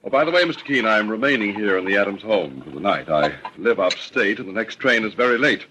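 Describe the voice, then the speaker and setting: Actor as a low-voiced, courteous radio-mystery gentleman. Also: animated, brisk, natural voice. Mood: politely casual. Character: courteous, smooth male suspect, helpful and self-possessed. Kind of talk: 1940s radio mystery acting. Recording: noisy, crackly old radio recording.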